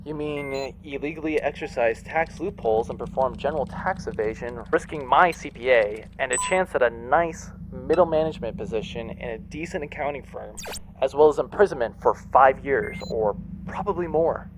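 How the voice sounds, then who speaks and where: An actor playing a put-upon young accountant in a space audio drama, uptight, exasperated and sarcastic. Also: male voice, mid-range voice, normal speed, noisy background, lightly acted. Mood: sullen sarcasm.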